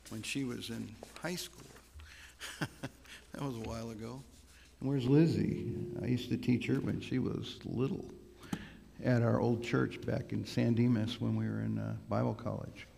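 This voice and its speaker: A man with a big voice preaches at a church. He talks loosely, with many fillers and restarts. His voice has a gravelly edge, and the hall rings with long reverb. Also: mid-range voice, fairly even intonation, moderate pace, background noise. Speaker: guest preacher. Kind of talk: sermon. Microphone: microphone on a sound system.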